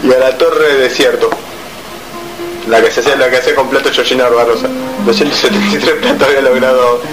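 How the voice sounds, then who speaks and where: A man's voice: mid-range voice, fairly even intonation, fast talker, lightly acted, rough recording. Argentine male celebrity guest, acted by a man: mock-solemn, sentimental about himself, easily slighted, radio sketch with guitar underneath. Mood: deadpan. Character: self-important male interview guest, touchy, mock-sentimental struggling artist. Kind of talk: improvised radio comedy sketch.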